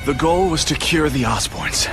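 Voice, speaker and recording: An actor playing a nervous, evasive corporate scientist in a video game, speaking in a halting, anxious and hesitant way. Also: male voice, fairly high pitch, expressive, gravelly, music in the background. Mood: evasive, earnest. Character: nervous, evasive male corporate scientist explaining his experiment. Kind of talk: dramatic video game voice acting.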